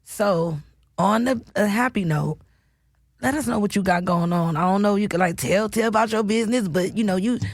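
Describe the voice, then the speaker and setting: A woman hosting a casual podcast, slangy and quick to react with short interjections. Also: medium pitch, no echo, clean studio sound. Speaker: podcast host. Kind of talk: casual chat.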